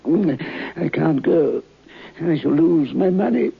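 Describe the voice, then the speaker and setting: A dull old radio recording of a sick old captain, stubborn and feeble. The voice is slow, gravelly and very raspy, halting over words as in a vintage horror play. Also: male voice, a little high, lively intonation, full character voice. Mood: feeble, anxious. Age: older. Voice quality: raspy, weak, croaky. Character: ailing, dying old sea captain, stubborn about money.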